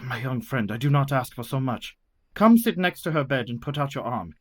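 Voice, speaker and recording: An actor playing an authoritative older physician and mentor in a radio play, formal and commanding. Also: male voice, medium pitch, animated, brisk, lightly acted. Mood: urgent.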